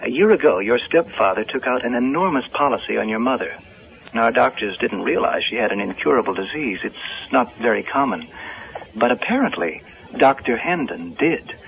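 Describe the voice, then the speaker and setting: An actor playing a confident young insurance adjuster and romantic hero in 1970s radio drama style. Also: male voice, medium pitch, expressive, normal speed, gravelly, a bit bright, a little hiss or hum. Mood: reassuring. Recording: muffled vintage radio broadcast recording.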